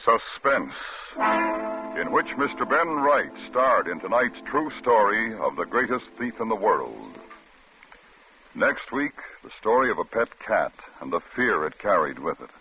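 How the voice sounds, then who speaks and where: A man's voice: lowish voice, big pitch swings, a little slow, highs cut off. A man reading scripted announcements and credits in old-time radio style. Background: loud music.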